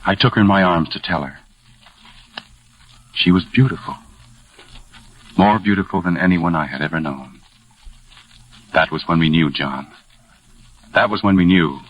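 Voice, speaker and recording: An actor playing a scarred, bitter but tender husband in a 1940s radio drama, speaking earnestly and dramatically. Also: male voice, low-pitched, unhurried, long pauses. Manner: earnest, brooding, dramatic delivery. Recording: dull old 1940s radio recording with music.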